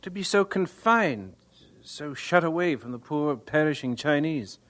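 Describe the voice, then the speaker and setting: An actor playing an earnest, idealistic young missionary in an audio drama, eager, pious and a little naive. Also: male voice, fairly high pitch, expressive, unhurried, fairly bright tone. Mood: earnest, concerned. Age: young adult.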